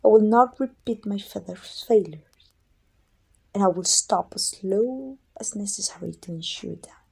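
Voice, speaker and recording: Woman voicing an evil sorceress queen for asmr roleplay, bossy and world-weary, breathy husky voice w lots of fry, dramatic. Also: medium pitch, a little mellow, exaggerated voice. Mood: bitter, resentful. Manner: imperious, deliberate, dramatic delivery. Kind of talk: scripted ASMR roleplay acting.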